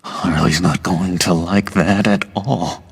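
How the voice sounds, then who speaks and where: A man playing an eccentric, needy, unstable sidekick to villains in a video game, theatrical and oddly earnest, with a raspy, creaky voice. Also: deep voice, steady tone, slow, in a large hall, heavily acted. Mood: fretful. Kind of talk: dramatic video game acting.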